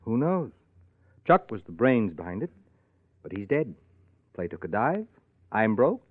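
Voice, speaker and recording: Sardonic playwright, muffled vintage radio. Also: male voice, on the low side, big pitch swings, measured pace, slightly rough, dry recording, full character voice. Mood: wry. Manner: sardonic, needling banter. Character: wry, sardonic, bitter failed playwright man. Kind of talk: old-time radio drama acting. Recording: muffled vintage radio recording, narrow band.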